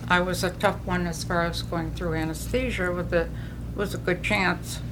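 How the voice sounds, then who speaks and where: A woman's voice: on the low side, fairly even intonation, normal speed, background noise. Older New England woman sharing her story. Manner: earnest, storytelling with frequent restarts. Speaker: recovery meeting speaker. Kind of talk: personal testimony.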